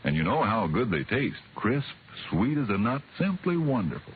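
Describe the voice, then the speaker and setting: A man's voice: medium pitch, very animated, moderate pace. A man delivering a folksy, scripted 1950s radio commercial. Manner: folksy, rhetorical questions. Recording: narrow vintage radio recording, faint noise.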